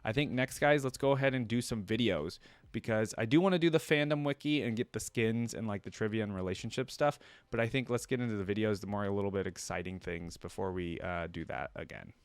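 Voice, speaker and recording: Man, low voice, dry sound. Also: fast, clean tone, no room sound. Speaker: reaction video host. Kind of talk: casual reading aloud and commentary.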